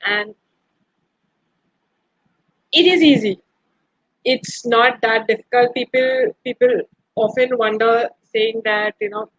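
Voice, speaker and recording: A woman's voice: a little high, fairly bright tone, no room sound, muffled recording. A woman from India, a Gujarati speaker, answering earnestly on a panel with hesitant fillers. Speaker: panelist and translator.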